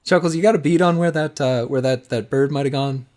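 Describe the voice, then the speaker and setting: A man playing an excitable, earnest American tourist who rambles in wide-eyed wonder in an improvised game. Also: on the high side, fairly quick, pitched above the performer's own voice, close to an everyday voice. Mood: eager.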